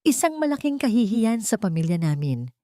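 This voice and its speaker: A woman voicing a harsh older mother-in-law with a shrill voice who accuses without mercy, in a storybook drama. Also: big pitch swings, fairly quick, dark tone, no echo, studio quality, natural voice. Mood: accusing.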